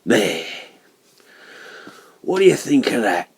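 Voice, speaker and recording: Casting: male reader as hefty tradesman, a stonemason, colloquial and genial, low rough-edged voice, for a horror story. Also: big pitch swings, slow pace, exaggerated voice. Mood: proud, offhand. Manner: blunt, colloquial, chatty tradesman. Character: huge sweaty friendly working-class monumental stonemason.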